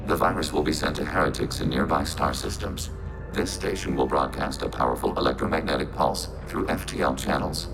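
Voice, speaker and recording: A man playing a calm, logical robot collective in a video game, with formal, clipped, emotionless phrasing and a robotic filter. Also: very deep voice, a little fast, poor audio. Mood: flat alert. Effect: robotic machine filter. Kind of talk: video game character acting. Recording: game music behind.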